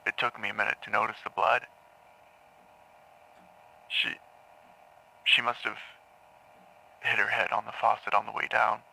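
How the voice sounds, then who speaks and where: An actor playing a haunted man in an audio drama, confessing in halting, repetitive phrases to a grim crime. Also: fairly low pitch, level tone, creaky, sharp tone, breathy edge, full character voice, rough recording. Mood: numb, grim. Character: haunted man confessing to killing his parents in therapy. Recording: taped therapy session sound.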